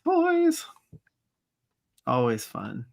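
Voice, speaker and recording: A man's voice: medium pitch, wildly swooping pitch, drawn-out words, creaky. A man co-hosting a toy podcast, laid-back with short casual replies. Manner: laid-back, brief replies, says y'all. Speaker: toy news podcast co-host. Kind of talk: casual chat.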